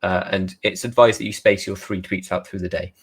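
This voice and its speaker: A man from Somerset with a softened West Country English accent, chatting casually as an interview guest, self-deprecating and trying to sound well spoken. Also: deep voice, fairly even intonation, a little fast, slightly rough. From Somerset, England. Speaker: guest author.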